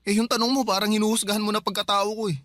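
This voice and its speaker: A broke, pleading younger brother. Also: male voice, sits high, flat delivery, rapid-fire, fairly bright tone, dry recording, clean studio sound. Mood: defensive. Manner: defensive, whiny, pleading. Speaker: younger brother in a radio drama. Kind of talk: Filipino radio drama acting.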